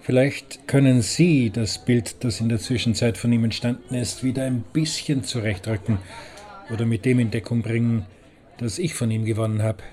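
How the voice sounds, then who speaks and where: A man's voice: mid-range voice, steady tone, moderate pace, a little mellow, lightly acted. An actor playing a formal, wordy man addressing someone in a radio drama.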